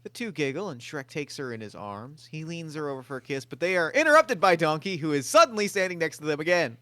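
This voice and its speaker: A man's voice: fairly high pitch, sing-song, quick pace, bright, forceful tone, dry recording. A man reading stage directions aloud at a table read in a plain, matter-of-fact way.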